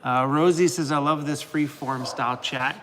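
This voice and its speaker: A man's voice: steady tone, normal speed, a bit bright, a little hiss or hum. A man in his fifties casually hosting a live stream and reading out viewer comments. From Canada. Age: 50s. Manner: rambling, talks to his dog in a high coaxing voice.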